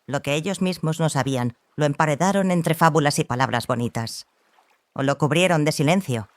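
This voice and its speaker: A woman playing an assured, scholarly female mentor in an audiobook drama, speaking with conviction and deliberate, questioning phrasing. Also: low voice, no echo, close to an everyday voice. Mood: assured, probing.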